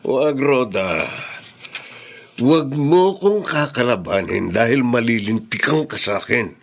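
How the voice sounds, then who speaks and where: A man's voice: mid-range voice, lively intonation, takes it slowly. A man playing a greedy, brazen and menacing brother-in-law in a Filipino radio drama, mocking and threatening.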